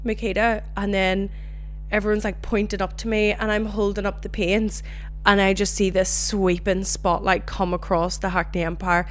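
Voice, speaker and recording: A young Northern Irish woman hosting her own podcast, chatting casually and telling animated stories. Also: medium pitch, moderate pace, slight background noise. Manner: chatty, animated storytelling with swearing. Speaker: podcast host. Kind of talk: casual solo chat.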